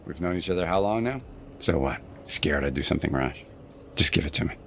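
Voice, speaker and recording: An actor playing an embattled, stubborn middle-aged starship navigator in a science fiction audio drama. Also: male voice, a bass voice, lively intonation, brisk, a little hiss or hum. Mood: bitter, defiant.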